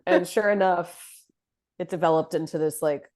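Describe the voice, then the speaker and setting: Low-voiced woman with a stuffy cold. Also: fairly even intonation, normal speed, darkish tone. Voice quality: deep voice. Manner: chatty, self-deprecating, filler-heavy. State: nasal from a head cold. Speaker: podcast co-host. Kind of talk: casual chat.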